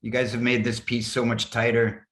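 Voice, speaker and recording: A man, a writer, reading aloud in an expressive literary style, with dramatic phrasing. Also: medium pitch, normal speed. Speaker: writer reading own piece. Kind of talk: literary reading of a first-person story. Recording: roomy, echoing sound.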